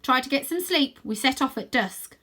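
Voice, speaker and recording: A woman's voice: high-pitched, lively intonation, moderate pace, sharp tone, slightly rough, voice pushed up, heavily acted. A woman voicing a bossy, dramatic young girl who leads a gang, with a commanding, emphatic delivery in a storybook reading. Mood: firm.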